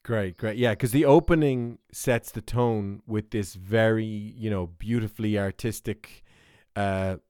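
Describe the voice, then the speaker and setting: A man's voice: fairly low pitch, measured pace, few pauses, darkish tone, clean tone, no echo. A man hosting a podcast in a playful, jokey way, interviewing guests about a film. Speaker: podcast host. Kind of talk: casual chat.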